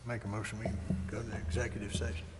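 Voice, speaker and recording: A man's voice: on the low side, level tone, fast talker. A man speaking as a council member, formally stating motions at a meeting. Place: indoor council chamber. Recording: reverberant chamber, faint noise.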